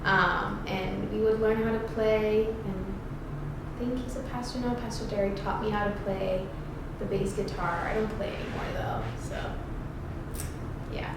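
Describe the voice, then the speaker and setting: A young woman from Ghana answers questions in a loose, playful ramble, full of fillers and little laughs. She sounds set back from the microphone, and the room rings with strong echo. A steady background noise runs under her voice. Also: medium pitch, a little slow. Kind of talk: casual get-to-know-me chat. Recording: echoey room, distant mic, background noise.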